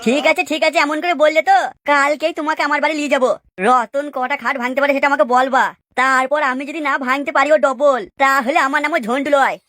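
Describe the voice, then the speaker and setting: A woman voicing a foolish, excitable village man in a comic cartoon, blustering and repeating himself. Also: sits high, quick pace, no echo, studio quality. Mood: cocky. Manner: repetitive, blustering.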